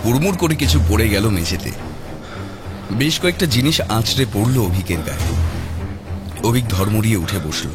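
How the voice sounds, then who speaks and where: Male horror audiobook narrator, lowish pitch, dramatic suspenseful phrasing, scripted read over loud music.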